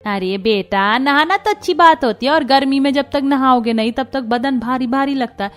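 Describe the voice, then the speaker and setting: Woman as a high-voiced nagging cartoon mother-in-law. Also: moderate pace, soft background music, lightly acted. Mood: defensive, chiding. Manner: sweetly bossy, instructing. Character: nagging, thrifty middle-aged mother-in-law. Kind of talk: Hindi cartoon story dialogue.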